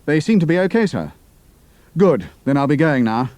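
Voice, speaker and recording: An actor playing a young British flying officer working undercover, brisk and plucky, with a British accent, in an audio drama. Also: male voice, fairly high pitch, sing-song, darkish tone, dry recording. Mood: clipped, cool.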